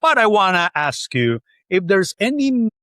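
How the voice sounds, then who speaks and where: A man's voice: sits high, big pitch swings, measured pace, a bit bright, no echo, clean studio sound. A middle-aged man from Colombia hosting a podcast interview, talking in long rambling runs with fillers and restarts. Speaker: podcast host. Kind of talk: interview conversation.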